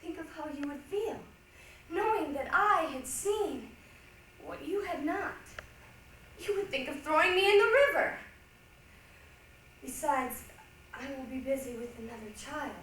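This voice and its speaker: A woman's voice: high voice, lively intonation, a little slow, pauses often, a bit bright. A woman playing a poor man's scornful, worried wife, bitter and scolding in dramatic readers' theatre. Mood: reproachful. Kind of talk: stage readers' theatre acting. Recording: echoey hall, distant mic, faint noise.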